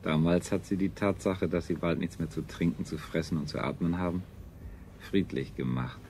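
A man's voice: low-pitched, normal speed, darkish tone, natural voice. An actor playing a proud, dutiful technologist and family man in a 1970s radio drama, with sober, stiffly sententious delivery. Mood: proud, superior. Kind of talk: 1970s German science fiction radio drama acting. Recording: faintly noisy 1970s radio recording.

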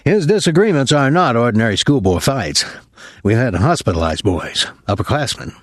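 A man voicing a nervous, apologetic older military academy colonel in an audiobook, speaking formally and haltingly with some embarrassment. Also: mid-range voice, lively intonation, no room sound, clean studio sound, close to an everyday voice. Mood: uneasy, grave.

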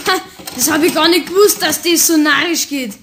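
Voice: sits high, a little fast, gravelly, background noise. A performer playing a polite, fond young schoolboy with a Bavarian accent, earnest and conversational, in a radio play. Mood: amused. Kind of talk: German radio play acting.

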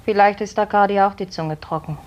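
A woman's voice: medium pitch, fairly even intonation, a little fast, a little mellow, lo-fi sound, close to an everyday voice. An actress playing a compassionate, bitterly dry servant woman who reproaches, in a 1950s German radio play. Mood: reproachful. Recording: faintly noisy 1950s radio recording.

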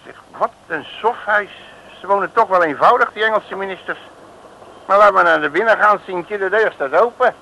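An actor in a 1960s radio comedy serial playing a blustering, streetwise detective with a cocky, colloquial way of talking. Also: male voice, high voice, normal speed, pushed and bright. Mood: puzzled, brash. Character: brash, blustering streetwise male detective, comic hero. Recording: noisy old radio recording.

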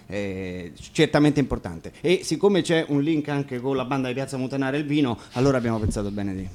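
A man informally introducing songs and telling stories to an audience, explaining things with hesitant filled pauses. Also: medium pitch, animated, background noise. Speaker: musician introducing songs at a concert. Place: radio broadcast of an acoustic concert.

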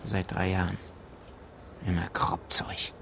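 A gravelly, evasive village gamekeeper. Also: male voice, low-pitched, completely flat delivery, slow pace, sharp tone, full character voice. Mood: contemptuous. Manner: terse, grudging, dismissive. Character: evasive, callous village gamekeeper acting as constable. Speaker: actor in a radio play. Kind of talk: German radio drama dialogue. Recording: noisy background ambience.

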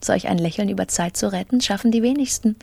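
An actress playing a self-absorbed, steely professional woman in an intimate, controlled radio drama monologue, with a breathy voice and brittle confidence. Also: medium pitch, quick pace, mellow, no echo. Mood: tense, self-satisfied.

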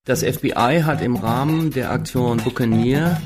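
A man speaking as an interviewed expert, explaining analytically in formal, measured phrases. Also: medium pitch, over loud music.

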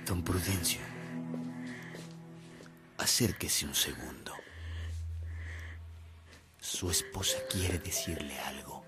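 A man playing a solemn priest giving last rites in a radio drama, measured and hushed. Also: very deep voice. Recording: radio drama under loud music.

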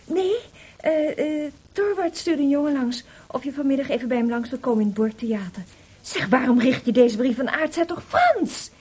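Casting: inquisitive young wife, high lively voice, practical temper, radio drama. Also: female voice, normal speed, husky. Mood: curious, practical. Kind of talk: Dutch radio play acting.

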